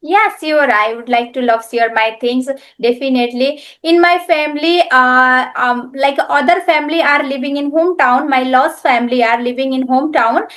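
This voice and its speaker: Nepali lady, native nepali, highish voice, lots of uh and restarts, casual video call chat. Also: a bit bright. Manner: halting, with many fillers and restarts. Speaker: guest. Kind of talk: video-call conversation in learner English.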